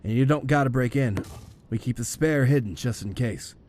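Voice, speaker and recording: A man playing a young neighbourhood tough in an audio drama, casual, halting and evasive. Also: medium pitch, a little mellow, a little hiss or hum, lightly acted. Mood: surprised, casual.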